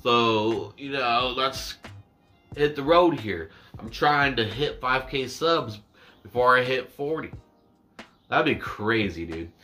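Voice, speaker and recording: Young male reaction vlogger, bright and forward voice, chatty and meandering, seated indoors. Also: mid-range voice. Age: 20s. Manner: rambling, self-deprecating, drawn-out words. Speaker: reaction YouTuber. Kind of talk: casual chat to camera. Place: small indoor room with dark backdrop.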